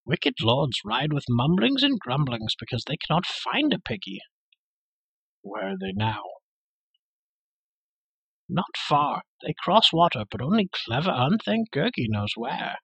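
A man's voice: fairly high pitch, very animated, a little slow, vocal fry, sharp tone, slightly rough, dry recording, voice pushed up, heavily acted, rough recording. A man voicing a fearful, fawning wild creature who whimpers and speaks of himself in the third person. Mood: wheedling. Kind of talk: audiobook character voice.

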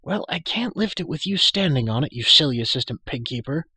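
A man plays a young girl of noble birth from a storybook, pitched well above a narrator's range. The girl prattles in a bossy, matter-of-fact way and is quick to scold. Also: sing-song, a bit bright, breathy edge, no room sound, heavily acted. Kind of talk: storybook character voice.

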